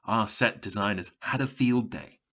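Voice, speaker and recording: An actor playing a smug, taunting television game show announcer with glib, theatrical, condescending patter in an audio drama. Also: male voice, fairly low pitch, normal speed, a bit bright, muffled recording. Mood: slick, teasing.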